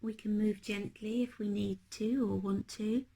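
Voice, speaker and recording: A woman leading a guided meditation as a teacher, speaking in a gentle, unhurried, hushed manner. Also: medium pitch, steady tone, gravelly, a little hiss or hum.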